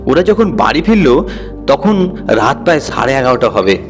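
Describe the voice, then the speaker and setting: A man tells a horror tale as a scripted, dramatic narration. His voice sits at an average male pitch. Music plays underneath his reading. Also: sing-song, moderate pace. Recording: music bed behind the voice.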